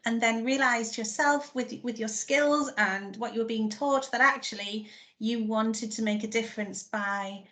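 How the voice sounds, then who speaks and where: Woman host, fairly high voice, encouraging, summarizing a guest's story. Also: a little slow, hardly any pauses, pushed and bright. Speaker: event host.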